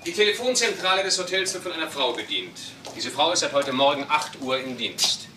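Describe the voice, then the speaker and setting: A man playing a businesslike police detective in a radio crime play, questioning briskly and methodically. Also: on the high side, lively intonation, lightly acted. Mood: brisk, inquiring. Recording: noisy, roomy, distant old recording.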